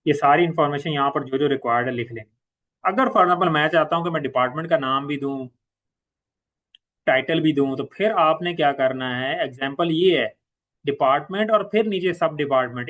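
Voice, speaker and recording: Male software trainer, Pakistani accent, slightly high voice. Informal, instructional walkthrough delivery, repeats key points, drops in English terms. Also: moderate pace. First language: Urdu. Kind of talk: informal step-by-step software tutorial.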